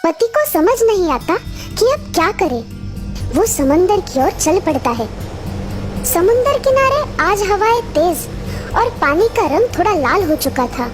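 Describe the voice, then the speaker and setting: A woman's voice: very high-pitched, big pitch swings, unhurried. A woman narrating a scripted children's story. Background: music.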